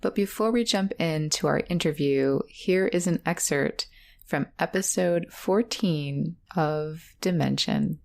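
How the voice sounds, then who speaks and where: A woman podcast host speaking in a reflective scripted monologue, with measured phrasing and deliberate pauses. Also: sits low, gravelly, a little mellow. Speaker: podcast host, audio drama creator.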